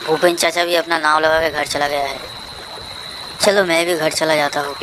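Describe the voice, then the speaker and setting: A woman plays a mild family-man boatman in a horror storybook. The talk is plain and conversational, in a register low for a woman. Noise is clearly audible behind. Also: steady tone, normal speed, natural voice. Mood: pensive. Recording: noisy track with water ambience.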